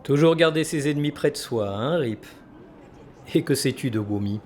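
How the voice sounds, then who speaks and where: A man's voice: medium pitch, lively intonation, moderate pace, a little mellow, slight background noise, close to an everyday voice. A man playing a composed, calculating senator in an audio drama, with a probing, politic manner.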